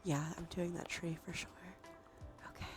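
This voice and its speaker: A woman's voice: fairly low pitch, steady tone, moderate pace. A woman livestreamer giving whispered ASMR commentary on a game, murmuring as she thinks aloud. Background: music.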